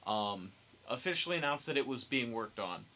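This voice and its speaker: A man's voice: medium pitch, animated, normal speed, bright, forceful tone, slight background noise. A young man hosting a casual podcast discussion, with a wry, joking way of talking. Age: young adult. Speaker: podcast host.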